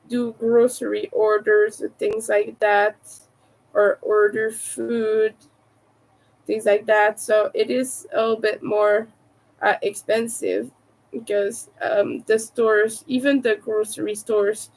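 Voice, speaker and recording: A woman with a raspy, soft-spoken voice, sick and low on energy, chatting casually and hesitantly on a livestream. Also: rough recording. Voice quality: raspy from vocal cord damage. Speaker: livestream host, crafter.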